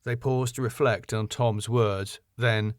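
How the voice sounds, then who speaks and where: A man's voice: mid-range voice, fairly even intonation, slow, dry recording, studio quality. A man reading his own sitcom script as narrator, with scene headings and stage directions.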